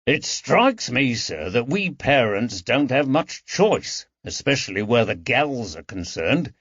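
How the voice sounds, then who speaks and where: A man's voice: mid-range voice, sing-song, slow, fairly bright tone, husky, no room sound, exaggerated voice. Man voicing a plain-spoken proud working-class father, lo-fi. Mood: homely, philosophical. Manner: colloquial, homely, unschooled grammar. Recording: dull lo-fi recording.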